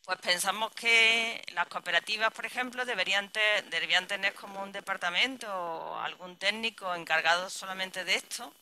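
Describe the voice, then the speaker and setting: A woman's voice: mid-range voice, moderate pace, hardly any pauses, pushed and bright, poor audio. A woman researcher giving a conference presentation, thinking aloud and rephrasing herself with restarts.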